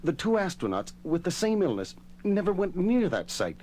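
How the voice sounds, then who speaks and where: An actor playing a stern, driven moon base commander in a science fiction audio drama, clipped and insistent. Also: male voice, high-pitched, big pitch swings, moderate pace, darkish tone, a little hiss or hum. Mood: firm, pressing.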